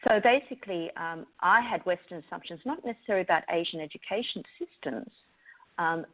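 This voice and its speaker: Australian woman academic, low voice, hesitant with ums, dull lo-fi recording. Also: a little fast. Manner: thoughtful, frequent ums and restarts. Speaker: university lecturer. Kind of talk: prepared talk to educators.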